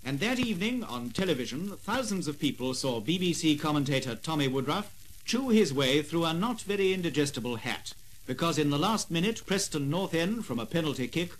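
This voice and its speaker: A man giving formal, measured documentary narration in a 1950s style on an old gramophone record. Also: on the high side, big pitch swings, a little hiss or hum, low-quality recording. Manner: formal, measured announcer delivery. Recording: vintage 78rpm gramophone record.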